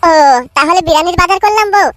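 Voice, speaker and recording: A man voicing a hapless, placating poor husband in an amateur cartoon, with a pitch-shifted voice. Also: quick pace, very noisy. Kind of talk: amateur cartoon comedy dialogue.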